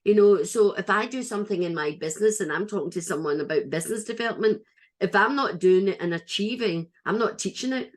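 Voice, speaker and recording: A woman's voice: mid-range voice, fairly quick. A Scottish woman hosting a podcast interview, talking in an earnest, chatty way with frequent restarts. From Scotland. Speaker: podcast host. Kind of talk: casual interview chat.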